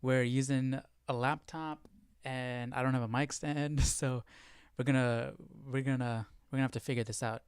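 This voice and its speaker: A man speaks casually as the host of a live study stream. His mid-pitched voice is clean and resonant, with very little room sound. He talks in a halting, informal way, thinking aloud as he goes. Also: fairly even intonation, smooth, dry recording, studio quality. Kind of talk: casual livestream chat.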